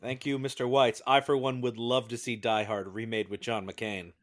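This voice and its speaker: A man's voice: medium pitch, flat delivery, moderate pace. A man hosting a mock trial as presiding judge, reading a script in a formal, ceremonious way.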